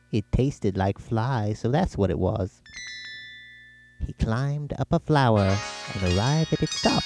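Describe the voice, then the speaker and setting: British male storyteller: gravelly fry, animated intonation, scripted children's-tale narration with music underneath. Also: fairly low pitch. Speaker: author reading own story. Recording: music behind the voice.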